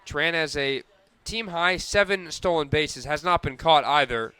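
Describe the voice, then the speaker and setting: A man's voice: on the high side, a little slow, pushed and bright, clear, resonant tone, dry recording. A man doing radio sports commentary as a play-by-play announcer.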